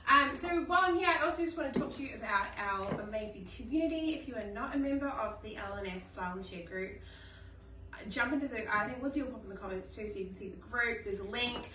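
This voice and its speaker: A woman's voice: on the high side, quick pace, bright, forceful tone. A woman with a New Zealand accent hosting a live fashion shopping stream, chatty and gushing with many ums. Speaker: fashion brand host. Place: fashion studio. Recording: echoey room, far from mic, noisy.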